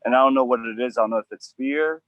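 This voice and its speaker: A man hosting an outdoor acting coaching session, animated and emphatic, with frequent restarts. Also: medium pitch, fast talker, dry recording, muffled recording.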